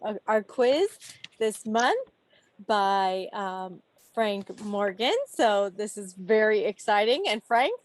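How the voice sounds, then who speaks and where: A woman's voice: on the high side, dry recording. An American woman hosting an informal quiz on a video call, addressing the group playfully, like a schoolteacher. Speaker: quiz host. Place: online video meeting. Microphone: video call microphone.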